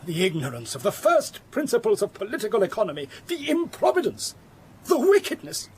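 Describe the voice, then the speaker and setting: An actor playing a fussy, accusing English political economist in a radio drama, pedantic and indignant, repeating himself for emphasis. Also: male voice, sits high, wildly swooping pitch, brisk, slight background noise, heavily acted.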